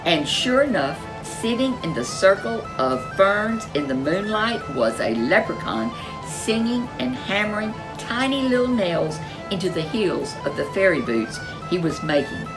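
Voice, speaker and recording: Slow, expressive woman storyteller. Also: mid-range voice, over music, poor audio. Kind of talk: reading a picture book aloud.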